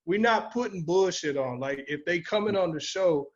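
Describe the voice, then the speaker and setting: A man's voice: sits high, expressive, normal speed. A man co-hosting a podcast in casual, slangy talk with free swearing, on dull lo-fi audio. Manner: informal and slangy, swears freely. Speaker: podcast co-host.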